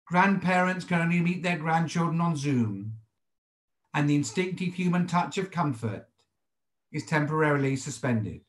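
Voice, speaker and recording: A British man in his sixties, a rabbi giving a sermon in a measured, formal and deliberate way over a video call from a home study. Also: on the high side, expressive, echoey room. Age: 60s. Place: indoors, home study with bookshelves. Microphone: computer microphone on a video call.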